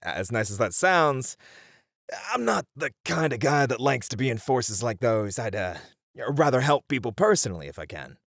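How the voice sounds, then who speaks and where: A man playing a sensible, nice warrior in a fantasy audio roleplay, earnest and measured with mild exasperation. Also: medium pitch, sing-song, gravelly, a bit bright, dry recording, studio quality, exaggerated voice.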